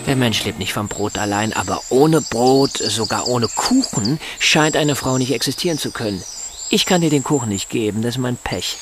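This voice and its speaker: An actor in a German radio drama playing a bitter, sardonic husband in a wheelchair who lectures and rationalizes with emphasis. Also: male voice, mid-range voice, animated, moderate pace, noisy background, close to an everyday voice. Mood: bitter, insistent.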